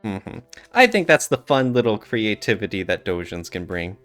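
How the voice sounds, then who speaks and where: A man's voice: on the low side, big pitch swings, normal speed, a bit bright. A man hosting a podcast in a casual, playful, joking way. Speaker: podcast host. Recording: music behind the talk. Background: background music.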